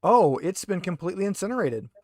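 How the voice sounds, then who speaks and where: A man's voice: sits high, big pitch swings, darkish tone, dry recording. Casual game master, dry close-recorded man. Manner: casual, halting, thinking aloud. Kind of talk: explaining rules and narrating a tabletop game.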